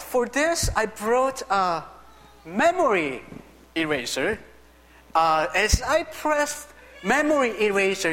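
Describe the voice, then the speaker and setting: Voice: mid-range voice, fairly bright tone. A pastor giving a sermon who jokes with and coaxes the congregation. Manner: joking, coaxing the congregation. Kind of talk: sermon with playful audience interaction. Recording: echoey hall reverb.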